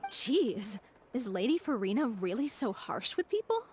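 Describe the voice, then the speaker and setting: A woman playing a gentle, determined young actress of a struggling troupe in an anime game, sincere and polite. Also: on the high side, big pitch swings, moderate pace, very noisy. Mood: surprised. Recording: music behind the voice.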